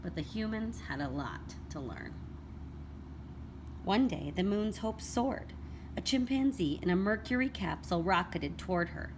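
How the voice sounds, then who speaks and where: A woman reading a children's picture book aloud as a teacher would, in an expressive, deliberate storytelling manner. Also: fairly low pitch. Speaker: elementary school read-aloud teacher. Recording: clearly noisy background.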